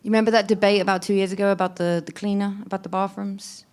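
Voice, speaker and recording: A woman's voice: medium pitch, level tone, fast, a little mellow, clean tone. A woman, a wife and mother in the congregation, talking candidly and chattily about her marriage. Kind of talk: candid church discussion.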